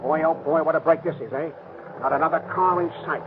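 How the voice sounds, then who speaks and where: A man's voice: fairly high pitch, fairly quick, natural voice. An actor playing a ruthless, bossy gangster in 1930s radio crime acting, talking American tough-guy slang. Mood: urgent, bossy. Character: ruthless, cocky gangster planning and bossing a robbery. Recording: scratchy, noisy, narrow-band 1930s radio.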